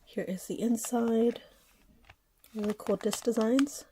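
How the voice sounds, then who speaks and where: A woman's voice: a little high, fairly even intonation, slow, warm tone, a little hiss or hum. A woman in her thirties, sick and a bit nasal, chatting casually about her collection with frequent fillers and small laughs. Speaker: anime collector vlogger. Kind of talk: casual haul chat.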